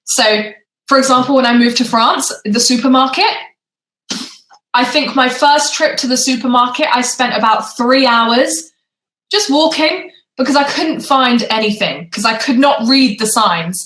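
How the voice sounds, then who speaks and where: A woman's voice: high-pitched, a little slow, a bit bright, echoey room, poor audio. A woman English tutor chatting casually with a student on a video call, often saying "you know". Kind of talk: casual conversation.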